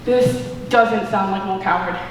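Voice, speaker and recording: A woman's voice: mid-range voice, unhurried, lightly acted. A young woman playing a bewildered, unprepared actor bluffing through a stage play, hesitant and awkwardly polite. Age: young adult. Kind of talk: stage comedy acting. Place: large indoor school auditorium, on stage. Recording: echoey auditorium, distant mic, faint noise.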